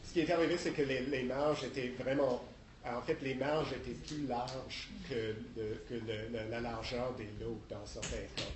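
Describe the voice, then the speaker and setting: A man's voice: mid-range voice, steady tone, normal speed, background noise. A man with a head cold answering questions at a public council meeting, hesitant and repeating himself as he explains. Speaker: municipal council member. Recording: echoey meeting room with people murmuring.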